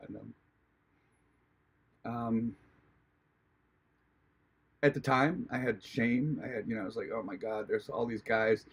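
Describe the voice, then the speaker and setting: A man from Hawaii telling a personal spiritual story in a halting, earnest way, with many restarts. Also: low voice, creaky, husky. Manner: earnest, reflective. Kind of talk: personal testimony, casual storytelling.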